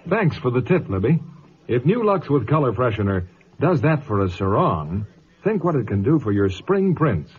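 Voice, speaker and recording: A man's voice: mid-range voice, big pitch swings, normal speed, breathy edge. An American man announcing in scripted 1950s radio style. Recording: muffled old radio broadcast.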